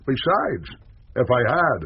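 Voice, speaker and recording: A man's voice: mid-range voice, big pitch swings, slow pace, husky, full character voice. An actor playing a hearty, informal middle-aged newspaperman in a radio drama. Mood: frank, reminiscing. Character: hearty middle-aged newspaperman, settled after restless wandering years. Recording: muffled, noisy radio recording.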